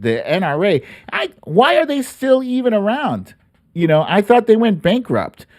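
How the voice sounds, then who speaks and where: A middle-aged American man hosts a political commentary show with a blunt, emphatic delivery. His pitch moves around a lot while the pace stays slow. The sound is dry and close, from a studio mic. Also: a little mellow, no echo. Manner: blunt, emphatic, with rhetorical questions and repetition for emphasis. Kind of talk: casual opinionated news commentary. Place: small indoor home studio. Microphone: close boom-arm studio microphone, headphones.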